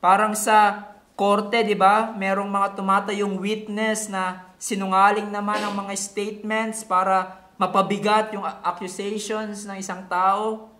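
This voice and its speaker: Slow-paced, high-voiced Filipino man preaching. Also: little pitch movement, pushed and bright. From Philippines. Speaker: pastor. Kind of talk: sermon mixing Tagalog and English. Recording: echoey room.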